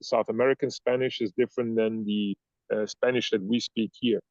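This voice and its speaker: A man's voice: fairly low pitch, flat delivery, a little fast, no room sound, lo-fi sound. A man whose first language is German and whose accent is a mix of German and Italian, talking casually as an interview guest, rambling and searching for words. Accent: mixed German-Italian. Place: Ibiza.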